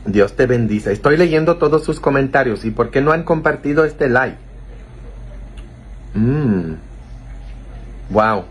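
A man's voice: medium pitch, expressive, slow, pauses often, husky. A man in his thirties, a priest streaming live, chatting playfully and reading viewers' comments aloud from a small office into a phone held close. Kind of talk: casual live-stream chat. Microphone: phone held close to the face.

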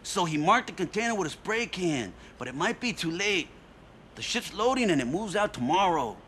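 An actor playing an eager young street hustler briefing about a job in slang. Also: male voice, high voice, big pitch swings, normal speed, a bit bright, a little hiss or hum.

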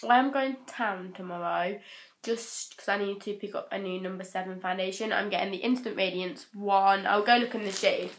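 A young woman with a croaky cold voice, chatting casually to a camera held at arm's length. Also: mid-range voice, normal speed. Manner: rambling, chatty, lots of "like" and "so". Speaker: vlogger. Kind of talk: casual vlog chat. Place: bedroom, then parked car. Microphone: handheld camera at arm's length.

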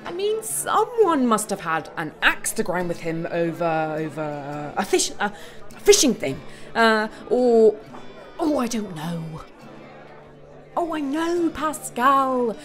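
An actress playing a wistful search and rescue pilot, telling her story in a reflective, conversational audio-play monologue with hesitant pauses. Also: a little high, very animated, fairly bright tone, soft background music, exaggerated voice. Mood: tipsy, animated. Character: wistful female search and rescue bush pilot recounting a tragedy.

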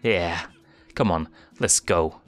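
A man's voice: low-pitched, takes it slowly, soft background music. A man voicing a young male lion cub, eager and boastful, in a raised voice. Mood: cocky. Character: cocky boyish lion cub prince.

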